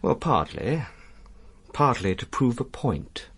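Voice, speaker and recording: An actor in a radio drama playing a guarded, well-to-do military man who speaks tersely and deliberately. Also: mid-range voice, very animated, husky, heavily acted. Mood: confiding, careful.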